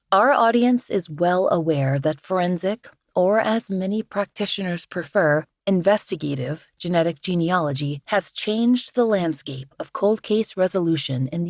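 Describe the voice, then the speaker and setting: A woman hosting a true-crime podcast in measured, earnest scripted narration, her voice a little scratchy from the flu. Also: fairly low pitch, darkish tone, dry recording, clean studio sound. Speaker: podcast host.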